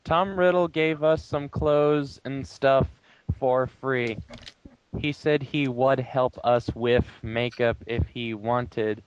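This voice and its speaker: A man with a smooth, clear voice takes his time, wry and deliberate as he banters and reads fan fiction aloud. The mic is close and dry, slightly muffled and lo-fi. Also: mid-range voice, no echo. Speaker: player in a dramatic group reading game. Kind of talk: casual banter and dramatic reading of fan fiction.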